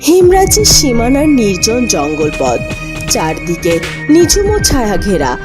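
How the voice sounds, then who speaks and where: A woman's voice: a little high, wildly swooping pitch, measured pace. A woman giving a dramatic scripted narration of a cartoon fairy tale. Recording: music behind the voice.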